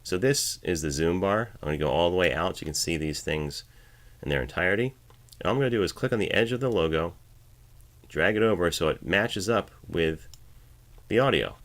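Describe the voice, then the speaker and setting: Very low-pitched man giving a tutorial. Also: animated, brisk, fairly bright tone. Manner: casual step-by-step explaining. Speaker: voiceover artist giving a tutorial. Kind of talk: instructional screencast narration. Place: home voiceover studio, at the editing station.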